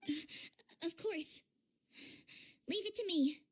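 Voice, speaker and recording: Squeaky, very high-pitched fairy companion. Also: female voice, takes it slowly. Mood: eager. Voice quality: squeaky, childlike. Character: small childlike flying fairy companion, earnest and eager. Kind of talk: anime game voice acting.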